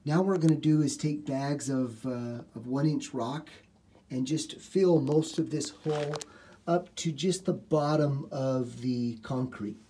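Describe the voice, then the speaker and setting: A man's voice: mid-range voice, a little slow, dark tone, slight background noise. A middle-aged man working as a home repair contractor, walking through a basement job step by step in plain talk with lots of "uh" fillers. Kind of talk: walkthrough how-to explanation. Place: basement, small indoor space.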